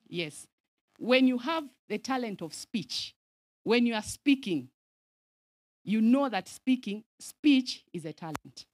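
A woman from Zambia in her thirties giving an animated teaching at a camp meeting, mixing Swahili phrases into her English and calling for audience responses, speaking into a handheld microphone in a large outdoor tent. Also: mid-range voice, no room sound. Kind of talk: lively sermon-style teaching.

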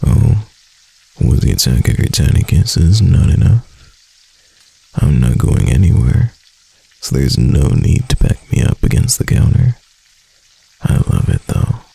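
A young man voicing a doting, clingy husband in a soft, intimate ASMR roleplay. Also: low-pitched, sing-song, takes it slowly, gravelly, long pauses, husky, full character voice, poor audio. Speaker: voice actor.

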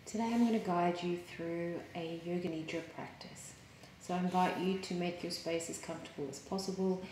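A woman yoga teacher leading a guided meditation in a calm, soothing, measured way with pauses. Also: lowish voice, slight background noise. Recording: roomy, echoing sound.

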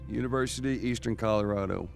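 An actor playing a gruff, guarded middle-aged survivor in a video game, with a gravelly Southern US drawl and a terse, stern manner. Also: male voice, fairly low pitch, fast, music in the background. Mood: gruff, resolved. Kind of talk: cinematic video game drama acting. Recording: dramatic game score behind dialogue.

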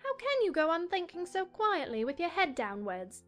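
A woman's voice: extremely high pitch, big pitch swings, moderate pace, faint music behind. A woman playing a curious, polite, outspoken little girl in a storybook audio drama. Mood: frank, inquisitive.

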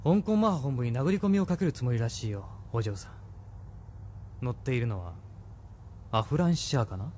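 A man's voice: medium pitch, expressive, brisk, long pauses, warm tone, a little hiss or hum, natural voice. A man playing a smug, self-assured military major in an audio drama, speaking with condescending authority. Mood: taunting.

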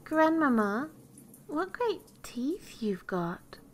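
A woman's voice: sits high, sing-song, normal speed, bright, forceful tone, a little hiss or hum, voice pushed up, exaggerated voice. A woman voicing an innocent, polite little girl in a storybook, earnest, wondering and sing-song. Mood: amazed.

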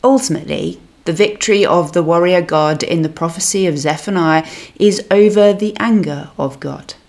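A woman minister reading a scripted devotional reflection in a measured, solemn manner. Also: lowish voice, gravelly, darkish tone.

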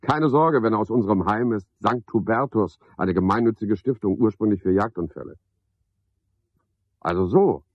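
An actor playing a garrulous, pompous, sardonic porter at a boarding house, with a husky voice, in a radio play monologue. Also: male voice, lowish voice, normal speed, no room sound, dull audio, close to an everyday voice. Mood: officious, wry. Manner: rambling, pompous monologue with mocking asides. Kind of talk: 1970s German radio play acting.

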